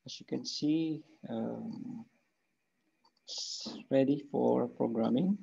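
A middle-aged man with a deeply gravelly, somewhat husky voice talks through an electronics project on his channel. He is methodical and keeps pausing to ask "okay?" His voice sits at a mellow, dark tone, and the desk-mic recording sounds dull and muffled. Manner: methodical, frequent "okay?" check-ins. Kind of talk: screen-share technical walkthrough.

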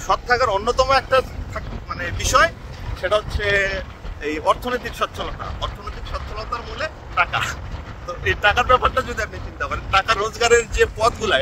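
A man in his thirties speaks quickly into a phone camera outdoors, thinking aloud in a rambling way and restarting his sentences. His voice sits high for a man, and clear traffic noise runs under the whole recording. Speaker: vlogger. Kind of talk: casual reflective monologue. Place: outdoors on a village road. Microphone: phone selfie camera at arm's length.

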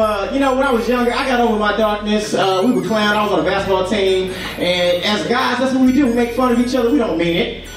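A young man performing a stand-up comedy set on a club stage into a handheld mic, punchy and animated, shouting some lines. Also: high voice. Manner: loud, animated, punchy delivery with shouted bits. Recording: echoey club heard from far off. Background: crowd laughing.

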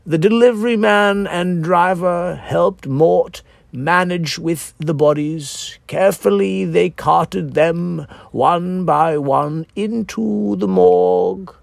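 A man reading a darkly comic, macabre story in a theatrical, deliberate manner, as the author narrating his own work. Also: high-pitched, gravelly, no room sound. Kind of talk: scripted dark-comedy story narration.

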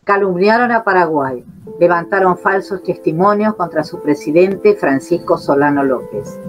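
A woman narrating a radio drama in a somber, steady way. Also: on the low side, moderate pace. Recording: dull lo-fi audio with faint guitar music.

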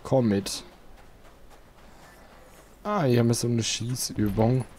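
Unhurried man commenting on a game, faint noise. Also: mid-range voice, darkish tone. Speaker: let's player. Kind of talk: casual gameplay commentary.

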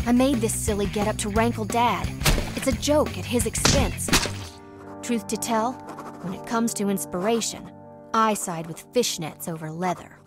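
A woman's voice: mid-range voice, normal speed, close to an everyday voice. A woman playing a determined, witty young woman in a motion comic, with wry, self-assured narration and dialogue. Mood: wry, resolute. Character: determined, defiant, witty young woman, a police commissioner's daughter. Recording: music behind the voice.

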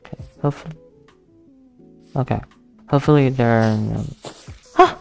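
A young man who is not a native English speaker, hosting a casual gaming let's play, thinking aloud with hesitant fillers and counting softly to himself. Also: mid-range voice, moderate pace, gravelly. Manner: hesitant, thinking aloud, counting under his breath, nervous laughs, easily spooked. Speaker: gaming channel host. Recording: faint background music under the voice. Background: soft background music.

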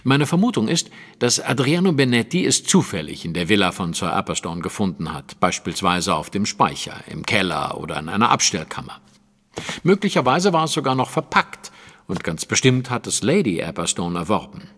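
An actor playing a shrewd, self-assured detective who explains things precisely in a German audio drama. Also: male voice, fairly low pitch, sing-song, moderate pace, fairly bright tone, in a large hall, full character voice. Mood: assured, explaining. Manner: precise, lecturing, deductive.

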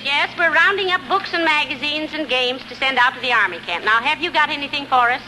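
An actress playing a sensible, drily teasing wife with a folksy manner in 1940s radio comedy. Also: female voice, high-pitched, brisk, loud music behind the voice, lightly acted. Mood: friendly, brisk. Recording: faintly noisy old radio recording.

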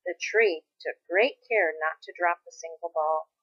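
A woman reading a children's story aloud as expressive scripted narration. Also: on the low side, measured pace, breathy edge, no echo, highs cut off. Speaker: storybook reader.

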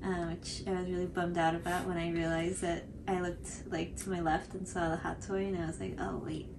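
A woman's voice: fairly low pitch, level tone, fairly quick. A woman, chatting casually to camera in a hesitant, self-deprecating way. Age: 20s. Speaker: cosplay channel host. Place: small room indoors on a couch. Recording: clearly audible background noise.

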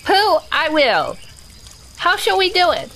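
A woman voicing a small, timid, eager young pig in an audio drama, fussy and quick to chime in. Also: extremely high pitch, huge pitch swings, bright, forceful tone, a little hiss or hum, exaggerated voice.

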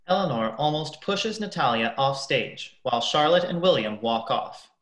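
Very low-voiced young female narrator. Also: lively intonation, normal speed, echoey room. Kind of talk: scripted stage-direction narration.

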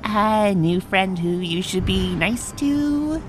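A woman's voice: mid-range voice, lively intonation, slow. A woman voicing a brash, cocky young female cartoon pegasus in a comic dub, with a boastful, dramatic delivery. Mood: scared, defensive. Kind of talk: animated comic dub acting. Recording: voice over background music.

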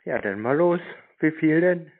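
A man's voice: on the high side, lively intonation, unhurried, dry recording. A man in a casual phone conversation, giving plain short replies and questions through a narrow phone-like sound. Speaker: scam baiter posing as customer.

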